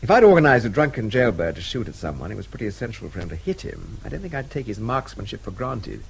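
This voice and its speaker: An actor playing a brash, witty, hard-drinking English agent in a radio drama, flippant and breezy, with an English accent. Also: male voice, lowish voice, big pitch swings, fast, vocal fry, a little hiss or hum, poor audio. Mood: shrewd.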